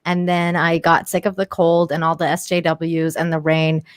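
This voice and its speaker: A woman's voice: fairly low pitch, flat delivery, normal speed, clear, resonant tone, no echo, studio quality. A woman in her thirties, from Iran and Canada, chatting casually as a panelist. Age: 30s. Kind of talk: casual chat.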